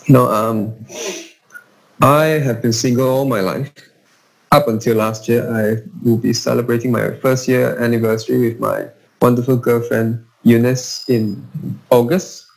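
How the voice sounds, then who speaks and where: A young man from Malaysia, a podcast guest who talks in a measured, earnest way with frequent restarts over a remote call. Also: medium pitch, flat delivery, vocal fry, poor audio. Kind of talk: casual conversation.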